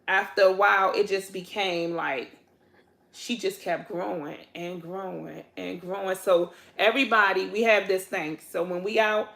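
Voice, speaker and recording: A woman's voice: lowish voice, vocal fry, fairly bright tone. Laughing DC woman telling stories. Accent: Washington, D.C. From Washington, D.C. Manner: playful, laughing storyteller, loose casual grammar. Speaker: vlog co-host, a twin. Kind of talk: casual chat on a couch.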